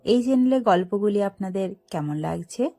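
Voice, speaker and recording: A woman's voice: medium pitch, expressive, moderate pace, warm tone. A woman reading a scripted story aloud as its narrator, in a dramatic storytelling style.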